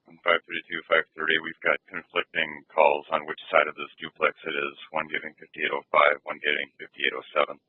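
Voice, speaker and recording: A man dispatching police calls over scanner radio in a flat, procedural way. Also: rumbling low, a little fast, few pauses, slightly rough, no echo. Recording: tinny phone-like scanner radio audio.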